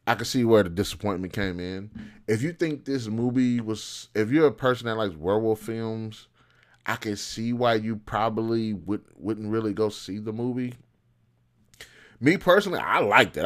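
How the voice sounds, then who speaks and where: A man with a fairly low voice hosts a casual commentary video. He speaks informally and bluntly, giving his opinions in loose, slangy language. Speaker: video host.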